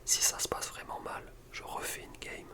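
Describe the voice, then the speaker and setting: A young man whispers softly and breathily in an informal, rambling ASMR chat. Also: normal speed. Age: young adult. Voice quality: soft, breathy unvoiced whisper. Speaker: video creator. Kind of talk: whispered ASMR chat about personal routine.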